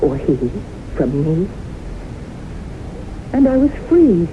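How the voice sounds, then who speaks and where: Casting: solemn haunted widow, deep for a woman, deliberate, earnest radio-drama storytelling. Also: sing-song. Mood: haunted, intense. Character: haunted widow solemnly recounting her brushes with death. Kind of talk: old-time radio drama acting, storytelling. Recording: dull, hissy old radio broadcast.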